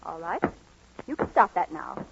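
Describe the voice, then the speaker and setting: An actress playing a brisk, skeptical woman doctor, firm and clinical, in a radio romantic comedy. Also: fairly low pitch, wildly swooping pitch, creaky, heavy background noise, full character voice. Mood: dryly clinical. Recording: dull lo-fi old radio recording.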